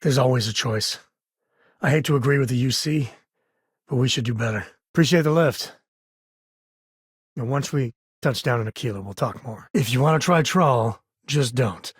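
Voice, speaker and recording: A man's voice: medium pitch, moderate pace, breathy edge, no echo, studio quality, close to an everyday voice. An actor playing an easygoing, wry space-frontier ranger and protective father in a video game, with folksy, informal speech. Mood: earnest, dry.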